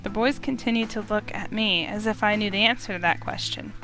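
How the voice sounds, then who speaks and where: A woman's voice: mid-range voice, a little fast, low-quality recording. Woman narrating a story over music. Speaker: narrator and player reading a visual novel. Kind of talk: expressive first-person story narration.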